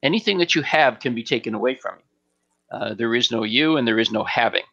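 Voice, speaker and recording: A man giving an unscripted spiritual question-and-answer talk as a teacher, speaking deliberately and emphatically with frequent pauses on an online stream. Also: medium pitch, pushed and bright, highs cut off.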